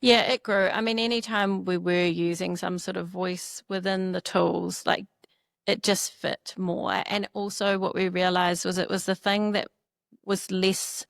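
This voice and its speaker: A woman's voice: mid-range voice, creaky, no room sound, studio quality. A woman from New Zealand with a New Zealand accent, co-founder of a startup, chatting in a casual interview, full of "you know" and "like".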